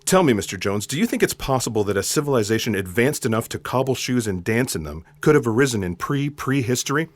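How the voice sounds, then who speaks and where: An actor playing an earnest, literal-minded special agent with a polite, formal, deadpan manner in a comic audio drama. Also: male voice, medium pitch, fast, few pauses, dry recording, lightly acted. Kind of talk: comic sci-fi audio theatre acting.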